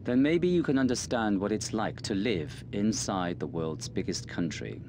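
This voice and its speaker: A grave, low-voiced colonel. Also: male voice, animated, noisy background. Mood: grave, persuasive. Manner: measured, formal, speechifying. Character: guarded middle-aged Chinese intelligence colonel, grave and deliberate. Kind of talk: dramatic video game dialogue.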